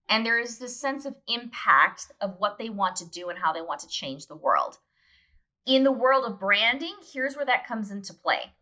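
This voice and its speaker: A woman's voice: on the high side, sharp tone. A woman guest explaining brand advice in a conversational, emphatic, instructive way, speaking directly to listeners. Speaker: guest, brand consultant and author.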